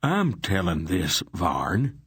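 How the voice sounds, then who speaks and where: A hot-headed male miner , as a narrator plays him, barking his words testily and loudly. The voice is husky, close and dry. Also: lowish voice, big pitch swings, unhurried, dry recording, voice pushed up, exaggerated voice. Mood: testy. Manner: testy, loud. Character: testy male miner, quick-tempered and loud.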